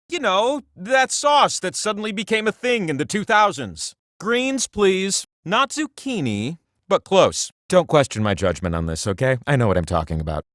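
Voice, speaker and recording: Animated, high-pitched cocky game-show host. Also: male voice, dry recording, studio quality. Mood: mock-defensive. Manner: theatrical, wry, mock-pompous asides. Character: talking game-show wheel, cocky, playful know-it-all male host. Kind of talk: comic quiz-game hosting.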